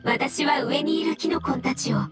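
A woman's voice: high-pitched, normal speed, lightly acted. A woman voicing a solemn, polite fungus spirit in a Japanese game, speaking formally and earnestly. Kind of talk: Japanese anime game dialogue. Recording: game music playing behind.

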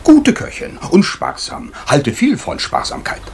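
A man's voice: sits high, huge pitch swings, unhurried, creaky, slight background noise, exaggerated voice. An actor playing a pompous, thrifty middle-aged businessman with a clipped, dismissive manner in a German radio crime drama.

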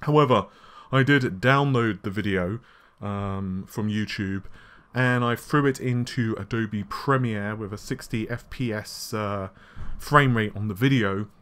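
A man hosting a casual tech news show, his voice slightly scratchy and worn, talking in a chatty way with frequent fillers and quick asides. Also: on the low side, fairly bright tone, clear, resonant tone. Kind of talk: casual solo tech commentary. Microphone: laptop recording setup.

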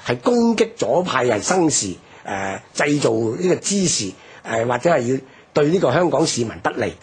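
A man with a Hong Kong Cantonese accent discusses history on radio. His voice is very husky and rough, and his pitch moves animatedly. He explains emphatically, often putting rhetorical questions. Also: big pitch swings, moderate pace.

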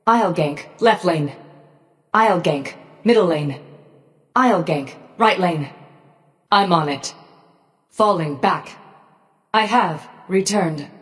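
Slow, cold robotic gorgon. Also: female voice, fairly low pitch, big-room sound. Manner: slow, measured, emotionally detached machine-like delivery. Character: cold robotic female gorgon war machine, menacing, clipped. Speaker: game character voice pack. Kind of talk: short scripted game commands.

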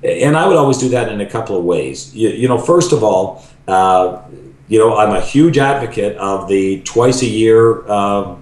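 A man's voice: lowish voice, animated, normal speed, darkish tone. A middle-aged man talking earnestly as a guest on a video call, thoughtful and restarting his sentences often. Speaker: ministry leader, podcast guest. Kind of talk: casual interview conversation. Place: home room. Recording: roomy video call audio.

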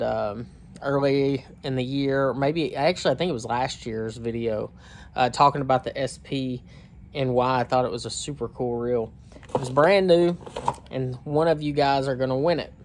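A man's voice: mid-range voice, slight background noise. A man, hosting a fishing channel and talking informally straight to a phone camera on a cabin porch. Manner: talkative, informal, uses y'all. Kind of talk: casual direct-to-camera vlog. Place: outdoor porch of a wooden cabin. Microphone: phone camera at arm's length.

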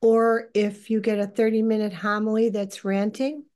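A woman in her sixties giving informal religious teaching on a group call, speaking in an earnest, deliberate, halting way. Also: medium pitch, flat delivery, a little mellow. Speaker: leader of a lay religious group. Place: living room, indoors. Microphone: computer on a video call.

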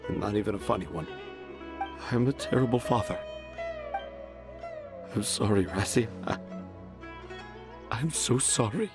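Remorseful father storyteller. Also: fairly low pitch. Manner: self-deprecating, apologetic, halting. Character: remorseful middle-aged father, struggling storyteller. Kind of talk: video game voice acting.

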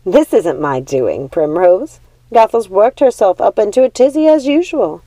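A woman's voice: medium pitch, lively intonation, dark tone, dry recording, voice pushed up, lightly acted. A woman acting a scornful, commanding old witch mother. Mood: scornful amusement. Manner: mocking, drawn-out, commanding.

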